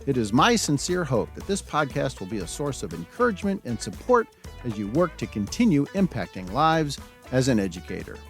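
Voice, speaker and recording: A man's voice: mid-range voice, big pitch swings, a little fast, faint music behind. A man hosting an education podcast, reading a formal introduction and then chatting with his guest, earnest and friendly with a few fillers. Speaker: podcast host, recruitment coordinator. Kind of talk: scripted introduction and interview.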